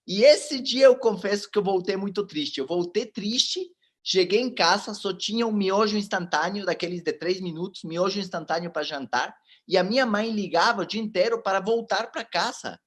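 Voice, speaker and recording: A man in his forties with a fairly high voice, speaking a language that is not his first. He gives a motivational talk as an animated storyteller. He often turns to his listeners and addresses them directly. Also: normal speed. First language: not Portuguese.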